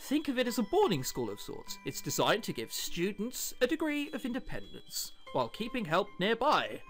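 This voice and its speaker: A man's voice: high-pitched, huge pitch swings, moderate pace, fairly bright tone, soft background music, heavily acted. A man reading the lines of earnest, reassuring adult men in a visual novel. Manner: reassuring, explanatory. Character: earnest, placating adults: a father and a doctor.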